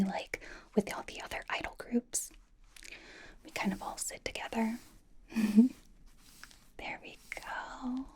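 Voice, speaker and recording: A woman's voice: on the high side, mellow, slightly rough. A woman playing a bubbly, encouraging young K-pop idol in an ASMR personal-attention roleplay, whispering breathily in a rambling, giggly, reassuring way. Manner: rambling, giggly, reassuring, casual youthful phrasing.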